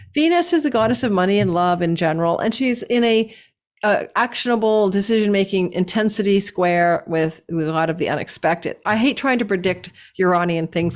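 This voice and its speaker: A hoarse woman host giving a casual, digressive horoscope reading, recorded indoors at a relative's house and laughing at herself now and then. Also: mid-range voice. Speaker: astrologer host.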